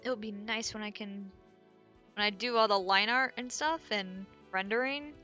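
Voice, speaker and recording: Bright-voiced woman streamer chatting. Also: medium pitch, normal speed, dull audio. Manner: thinks aloud, rambling, muttering to herself. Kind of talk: casual chat while drawing. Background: faint background music.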